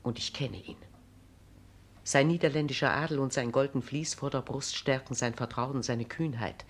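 Imperious, aggrieved regent, formal German drama. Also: female voice, a bass voice, normal speed. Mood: accusing, resolute. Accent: standard German. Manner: formal, declamatory, emphatic. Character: proud, aggrieved female regent of the Netherlands, imperious. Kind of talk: classic German stage-drama radio acting.

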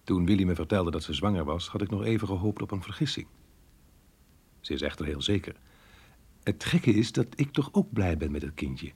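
An actor playing a melancholy married man reading his diary in a radio drama, earnest, reflective and measured. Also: deep voice, animated, a little mellow, husky, dry recording, exaggerated voice. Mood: guilty, resigned. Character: married man, melancholy diarist torn by guilt over lost love.

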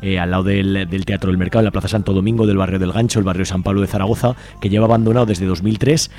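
A man's voice: low-pitched, fairly even intonation, fast talker, mellow. A man with an accent from Spain hosting a live community radio interview. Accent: Spanish from Spain. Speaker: radio show host. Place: radio studio.

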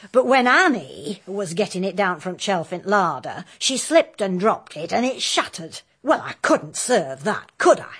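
An actress playing a deferential, chatty country cook in a radio drama. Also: female voice, medium pitch, big pitch swings, measured pace, few pauses, a bit bright, slightly rough, full character voice. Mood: matter-of-fact. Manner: gossipy, respectful.

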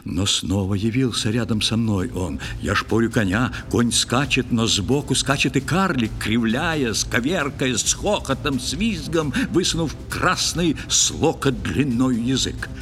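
A man narrating a verse tale in an audio play, with theatrical, expressive recitation. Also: mid-range voice, unhurried, hardly any pauses. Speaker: actor-narrator. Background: music.

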